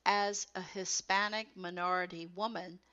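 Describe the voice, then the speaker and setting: A woman reading scripted educational text as a narrator, in a measured, carefully enunciated way. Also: lowish voice, steady tone, sharp tone, lo-fi sound.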